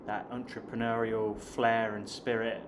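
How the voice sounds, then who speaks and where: A man in his late thirties from the south of England speaks in a fairly low voice with a southern English accent. He chats to his viewers and calls them guys. Clear background noise runs under the recording. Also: level tone, a little slow. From Hampshire, south of England. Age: late 30s. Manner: chatty, addresses viewers as guys. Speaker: online English teacher and vlogger. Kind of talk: casual talk to camera.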